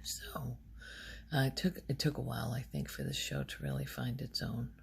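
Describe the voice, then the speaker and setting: A soft-spoken woman rambling in a halting, unhurried ASMR style, her quiet voice often close to a whisper. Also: wildly swooping pitch, gravelly. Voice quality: soft, low-volume voice, often near whisper. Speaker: ASMR video host.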